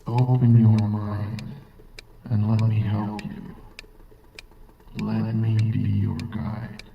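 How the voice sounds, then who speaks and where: An actor playing a calm, solemn guide who questions a troubled mind in a measured, hypnotic way. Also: male voice, fairly low pitch, vocal fry. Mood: coaxing. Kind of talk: audio drama, slow hypnotic questioning. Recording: distant, echoey, muffled, faint noise.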